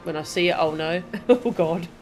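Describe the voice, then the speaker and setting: A woman's voice: lowish voice, normal speed, darkish tone, soft background music. A woman giving casual live commentary as she streams a video game.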